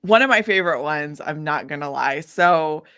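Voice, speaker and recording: A woman speaking casually as an interview guest, an independent musician who tells stories in an animated way and acts out quoted dialogue. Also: low voice, normal speed, clear, resonant tone, no room sound, studio quality. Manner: animated storytelling, often acting out quoted dialogue.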